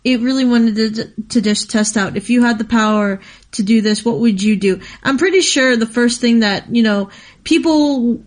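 Young woman with a slightly high voice. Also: moderate pace. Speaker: anime show co-host. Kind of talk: casual chat, discussing anime.

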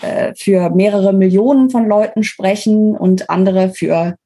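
A woman's voice: mid-range voice, a little slow, mellow, breathy edge, faint music behind. A woman with a German accent explains things as an expert interview guest, informal and emphatic, often correcting herself as she thinks aloud.

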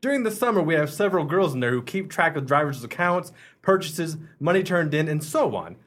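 Guy doing an easygoing folksy truck supervisor in a radio play, highish bouncy voice. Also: sing-song, fairly quick, a bit bright. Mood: helpful, folksy. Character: affable, folksy male ice cream driver supervisor.

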